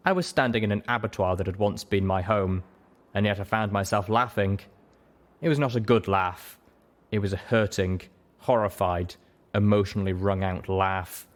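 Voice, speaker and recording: A man's voice: on the low side, fairly even intonation, moderate pace. A man reading fiction aloud as the narrator of a reading show, in a dramatic scripted style.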